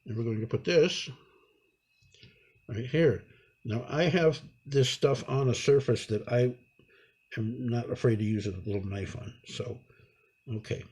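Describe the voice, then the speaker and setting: A man with a husky, slightly rough voice and medium pitch gives hands-on instructions. He speaks in an unhurried, halting way, improvising as he talks through each step of his work. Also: darkish tone. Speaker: instructor demonstrating a repair. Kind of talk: hands-on tutorial narration, improvised.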